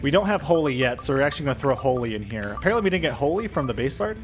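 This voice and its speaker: Guy doing casual gameplay commentary, average pitch, kinda thinking out loud and unsure, game music in the back. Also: lively intonation, rapid-fire. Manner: thinking aloud, indecisive musing. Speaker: video game streamer doing a let's play. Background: video game music.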